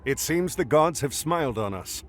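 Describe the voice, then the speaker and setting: A man's voice: on the high side, expressive, normal speed, a little hiss or hum, natural voice. An actor voicing a heroic, earnest admiral leading his men in a game.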